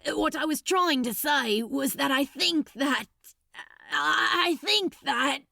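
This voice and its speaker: A woman voicing a flustered, lovestruck male demon in an audiobook, halting and stammering as he tries to confess. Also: sits high, gravelly, pushed and bright, no room sound, studio quality, exaggerated voice. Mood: flustered, exasperated. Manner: halting, stammering, repeats himself. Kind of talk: dramatic audiobook character acting.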